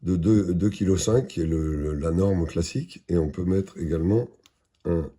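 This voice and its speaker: Male product presenter, very dark husky tone, matter-of-fact and halting, unscripted demo. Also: deep voice.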